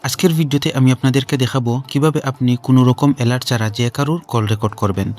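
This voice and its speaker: A man's voice: medium pitch, racing, darkish tone. A man narrating a how-to tutorial as host, explaining step by step and repeating his instructions to viewers. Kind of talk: scripted-sounding how-to narration addressed to viewers. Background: background music.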